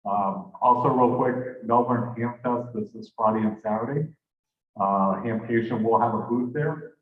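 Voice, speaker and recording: Low-voiced man, tinny and echoey. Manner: loose, conversational, self-correcting. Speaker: club volunteer making announcements. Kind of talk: casual meeting announcements. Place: club meeting room. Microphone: handheld microphone held at a distance. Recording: tinny, echoey room from far off.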